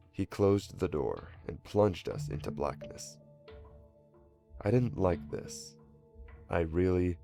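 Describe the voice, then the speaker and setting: A man narrates a dark first-person story in a very deep, gravelly voice. His delivery is dramatic and moves up and down a lot. Faint music plays underneath.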